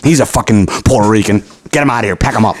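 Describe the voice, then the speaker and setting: A man hosting a podcast, talking crude and teasing, getting loud and excited. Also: very fast. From New York. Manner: loud, profane, teasing, jumps in pitch when excited. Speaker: podcast host. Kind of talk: crude casual banter.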